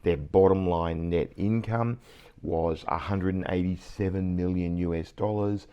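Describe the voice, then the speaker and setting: A middle-aged man with a croaky voice, hosting a vlog and talking through industry news quietly at night, with bursts of excitement over the numbers. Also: sits low, a little slow, lo-fi sound. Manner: keeps voice hushed and quiet; enthusiastic, excitable about numbers. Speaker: CEO and vlog host. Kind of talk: casual news commentary vlog. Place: indoors at night.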